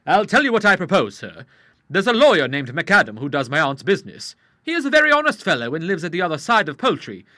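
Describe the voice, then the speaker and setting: A man's voice: a little high, big pitch swings, quick pace, pushed and bright, no room sound, clean studio sound, voice pushed up. A man voicing an earnest, plain-spoken young man who is suspicious of rogues. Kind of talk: audiobook character voice.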